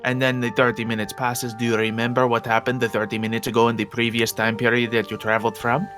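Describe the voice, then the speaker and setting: A man playing an eccentric guild mage in a game roleplay, explaining things in a pedantic, measured way with sudden exclamations. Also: mid-range voice, little pitch movement, a bit bright, faint music behind, lightly acted. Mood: animated. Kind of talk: improvised video game roleplay.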